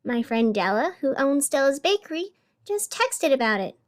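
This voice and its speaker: A woman playing a radio host in an audio drama, narrating with measured, theatrical delivery and dramatic pauses. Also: sits high, very animated. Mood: upbeat reporting. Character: sleepless, over-caffeinated female radio host in strange city. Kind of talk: scripted radio fiction narration.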